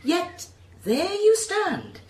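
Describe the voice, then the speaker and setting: An actress playing a dry, quick-witted sceptical woman in a radio drama, sarcastic and argumentative. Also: very high voice, wildly swooping pitch, heavily acted. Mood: sarcastic, challenging. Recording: roomy, faint background noise.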